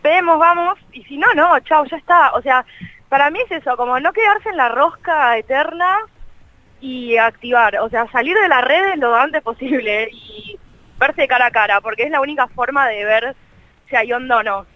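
A woman's voice: high-pitched, normal speed, no room sound, highs cut off. A young Argentine woman chatting casually as an interview guest, with a colloquial, slangy and self-deprecating manner. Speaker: interview guest, co-creator of a meme page. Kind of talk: casual chat in a radio interview.

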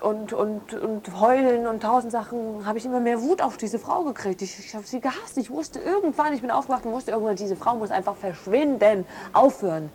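An actress playing an agitated, grieving woman who confesses hatred, halting and repeating words as in a real interview. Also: a little high, darkish tone, slight background noise, close to an everyday voice. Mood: bitter, intense. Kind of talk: naturalistic interview-style radio drama.

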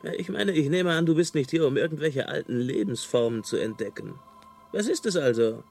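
A sardonic, doubting traveller, with a creaky voice. His pitch moves in a lively way as he probes, in a comic radio play with faint music. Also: a little fast. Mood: sceptical, probing. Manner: probing, wry, sardonic. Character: sceptical, sardonic male space traveller. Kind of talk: radio comedy drama acting.

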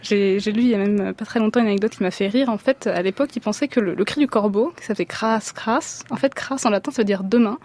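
Young woman PhD guest, quick chatty talker, studio mic. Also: mid-range voice, darkish tone. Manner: chatty, quick, many fillers. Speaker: doctoral researcher guest. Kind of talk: radio interview. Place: radio studio in Paris. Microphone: studio microphone.

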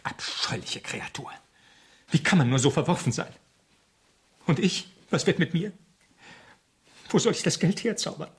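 An actor in a 1970s radio play, playing a harried, indignant author with a stammering, curt, exasperated manner. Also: male voice, a little high, animated, a little slow, pauses often. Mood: despairing. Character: harried, indignant male author being blackmailed. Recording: faint background noise on 1970s radio recording.

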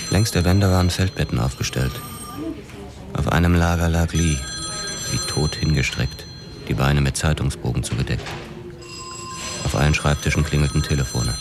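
A man with a very deep voice narrates a hard-boiled radio drama in a measured first-person way. Music plays clearly beneath him.

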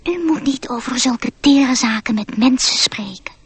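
A small, high-pitched mouse speaks in a radio drama. The voice is very husky and breathy, recorded close and dry. Its manner is formal and frank, disdainful of humans. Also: female voice, a little slow, dry recording, exaggerated voice. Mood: prim, admonishing. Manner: formal, matter-of-fact.

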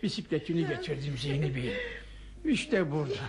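An actor playing an anxious, kindly old gentleman in a radio play, polite and flustered, often repeating himself. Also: on the high side, big pitch swings, fairly quick, crowd noise. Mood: anxious, soothing. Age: older. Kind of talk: radio theater acting.

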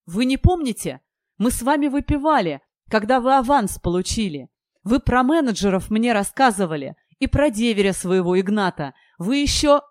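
A narrator puts on a young man for an audiobook, nervous and easily flustered. The delivery is halting, eager and pleading. It is recorded dry. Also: mid-range voice, lively intonation, no echo, pitched above the performer's own voice, lightly acted.

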